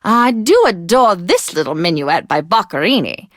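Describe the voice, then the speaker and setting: Flamboyant, lively classical radio DJ lady. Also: lowish voice, moderate pace, no room sound, studio quality. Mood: dreamy, gushing. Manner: theatrical, affected, gushing, old-time slang and endearments. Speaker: radio host. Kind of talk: old-fashioned radio DJ patter for a video game.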